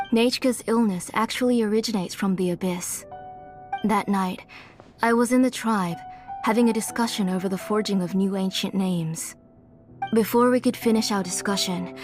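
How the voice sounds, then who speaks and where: An actress playing a gentle, sorrowful middle-aged mother in a game, speaking softly, with game music behind her. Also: mid-range voice, natural voice. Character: gentle, guilt-ridden mother and former smith. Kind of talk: game dialogue. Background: soft game music.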